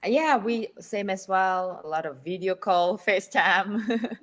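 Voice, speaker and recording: A woman hosting a live video-call interview, speaking warmly and encouragingly to her guest. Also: medium pitch, slow. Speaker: interview host.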